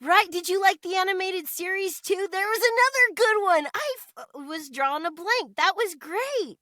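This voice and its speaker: An American woman chatting casually as an interview guest, expressive and chatty. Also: extremely high pitch, moderate pace, dry recording, studio quality. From United States.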